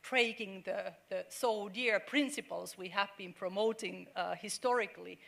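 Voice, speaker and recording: A middle-aged woman speaks on a forum panel in a bright, forceful voice. She talks earnestly and halts often, restarting phrases and filling pauses as she works through her point. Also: mid-range voice. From Finland. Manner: earnest, halting, with many fillers and restarts. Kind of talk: panel discussion.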